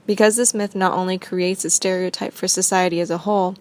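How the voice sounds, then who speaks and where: A woman reading a scripted documentary narration in a steady, explanatory way. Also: lowish voice, a little fast.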